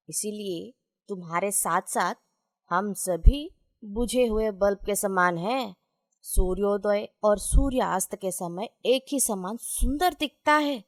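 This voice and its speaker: A woman storyteller voicing a wise, humble old retired gentleman who gives measured, philosophical counsel. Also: medium pitch, no echo, studio quality.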